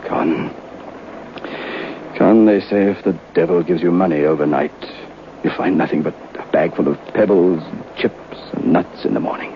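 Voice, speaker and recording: A ruined gentleman master, gloomy and reckless, speaks in a low, brooding theatrical voice. The sound is a muffled vintage radio recording with clear background noise. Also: normal speed. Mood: despairing. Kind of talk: old-time radio drama acting.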